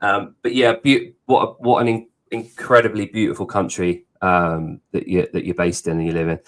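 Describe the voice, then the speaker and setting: A man hosting a podcast, asking questions in a measured way. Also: low voice, little pitch movement. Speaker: podcast host. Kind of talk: interview.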